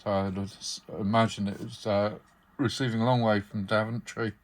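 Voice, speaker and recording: A man giving a casual, unscripted hands-on demonstration of his radio collection, speaking in hesitant, halting phrases. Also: fairly low pitch, level tone.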